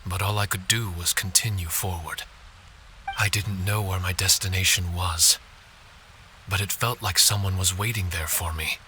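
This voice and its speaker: A man voicing a gentle, polite spirit warrior in a video game, earnest and a little hesitant. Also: sits low, steady tone, normal speed, background noise, close to an everyday voice. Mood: wistful.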